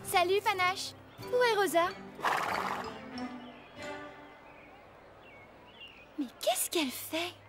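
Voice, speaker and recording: Worried cartoon horse girl, very high, chiding, music under it. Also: expressive, normal speed. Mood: concerned. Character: earnest, worried young girl rider, reproachful friend. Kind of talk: cartoon dub. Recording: loud background music.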